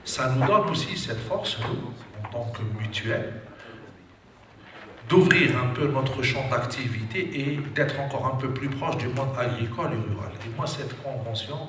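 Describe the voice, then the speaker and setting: A man's voice: medium pitch, expressive, a little slow. A man giving a formal speech at a seminar, often hedging with a stock filler phrase. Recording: echoey room, distant mic, background noise.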